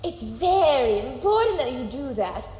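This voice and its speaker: A woman's voice: sits high, unhurried, soft background music. A woman playing a genteel, bossy, scatterbrained scientist who chides her colleagues in a sci-fi comedy audio drama. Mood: cheerful, instructive. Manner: animated, genteel, chiding. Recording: echoey hall, faint background noise.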